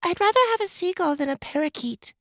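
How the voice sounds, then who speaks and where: A woman's voice: very high-pitched, lively intonation, quick pace, fairly bright tone, no echo, studio quality, heavily acted. A woman voicing a sweet, innocent little girl in an audiobook drama, speaking in a childlike, earnest way. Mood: sweet, hopeful.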